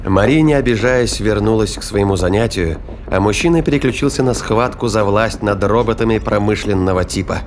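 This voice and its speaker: A man's voice: on the low side, fairly quick, a little hiss or hum. A man giving scripted, dramatic narration for a science fiction audio drama.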